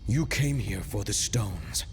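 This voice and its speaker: Game hero: selfless man pleading dramatically, lowish pitch, faint music underneath. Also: lively intonation, slow. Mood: desperate pleading. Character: selfless, earnest male hero.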